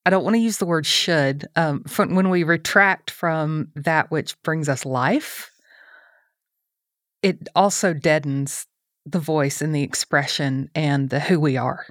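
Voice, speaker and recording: A woman's voice: low voice, measured pace, dry recording, studio quality. A middle-aged woman hosting a casual chat, speaking in a reflective, encouraging way.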